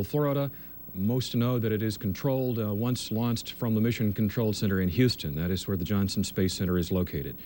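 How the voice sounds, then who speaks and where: A male news anchor with an American accent and a fairly low voice delivers scripted television news. The old broadcast recording carries a faint rumbling noise. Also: dark tone.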